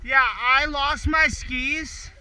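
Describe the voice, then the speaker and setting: Young man, very high bright voice, slow drawl, casual skiing chatter, head-mounted camera outdoors. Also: steady tone, poor audio. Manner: chatty, laughing, talking to friends mid-run. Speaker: student skier. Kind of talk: casual running commentary while skiing. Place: outdoor ski slope in snowy woods. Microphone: head-mounted action camera.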